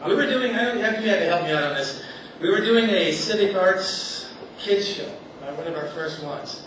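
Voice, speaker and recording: A man with a slightly high voice tells a loose, self-mocking story from a stage and often laughs at his own jokes. He sounds far from the mic, in a hall that echoes heavily, with a steady hiss of noise behind him. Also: moderate pace. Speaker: stage storyteller at a community event. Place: theater stage before a live audience.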